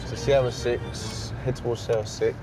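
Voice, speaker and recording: Calm man making airfield radio calls. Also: medium pitch, moderate pace, loud music behind the voice, rough recording. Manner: calm, matter-of-fact, brief radio phrasing. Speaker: airside operations officer. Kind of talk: radio calls and work talk. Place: outdoors on an airfield.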